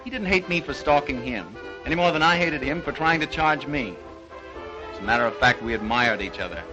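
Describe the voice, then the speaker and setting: Actor as a breezy young hunter, animated delivery. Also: male voice, mid-range voice, a little fast, over music, close to an everyday voice, poor audio. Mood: assured. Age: young adult. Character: confident young big game hunter, breezy and self-assured. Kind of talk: 1930s film acting.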